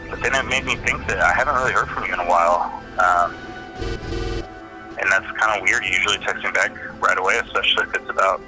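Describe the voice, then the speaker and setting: A man playing a slangy, mildly reproachful friend, leaving a halting, rambling voicemail in an audio drama. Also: lowish voice, sharp tone, poor audio. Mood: uneasy, concerned. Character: music-nerd male friend, mildly reproachful. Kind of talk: casual voicemail in audio fiction.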